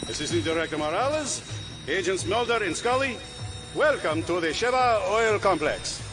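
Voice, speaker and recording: An actor playing a grave Saudi security official at an oil complex, formal, measured and courteous. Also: male voice, sits high, animated. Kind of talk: audio drama acting. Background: music.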